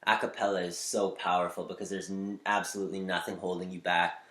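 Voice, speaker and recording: A man with a slightly nasal voice giving upbeat, spiritual advice on using your voice, shifting between quick bursts and slow, deliberate lines. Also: sits low, little pitch movement, sharp tone, roomy sound. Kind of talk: motivational talk to camera.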